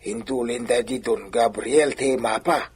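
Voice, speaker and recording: An actor playing a deferential, scheming old man in a radio drama, with a raspy, coaxing delivery. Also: medium pitch, fairly even intonation, measured pace. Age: older.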